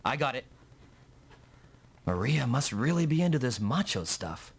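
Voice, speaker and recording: A man playing an earnest, excitable young mech pilot in a dubbed video game. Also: mid-range voice, unhurried, vocal fry, breathy edge, full character voice. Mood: worried, determined. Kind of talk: anime-style video game dub acting. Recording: loud game music behind. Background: loud music.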